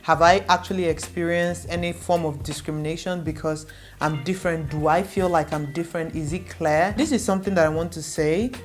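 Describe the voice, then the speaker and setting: A young adult woman from Nigeria, a native Igbo speaker, speaks in a somewhat low voice as she reassures her viewers. She is earnest and steady, and she leans on repetition to drive her points home. Faint music plays quietly under her speech. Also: brisk. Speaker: vlogger. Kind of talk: direct-to-camera advice vlog.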